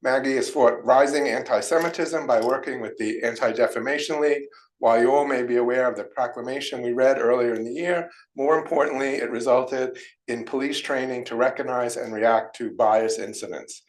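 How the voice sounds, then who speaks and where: A man with a mid-range voice reads a prepared tribute at a township meeting. His delivery is steady and formal. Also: medium pitch, fairly quick, rough recording. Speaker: committee member reading a tribute.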